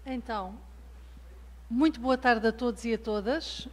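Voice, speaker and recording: Portuguese-accented female keynote lecturer, high voice, measured delivery with many fillers. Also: darkish tone. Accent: European Portuguese. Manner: measured, with frequent hesitant fillers. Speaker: guest lecturer. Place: lecture hall.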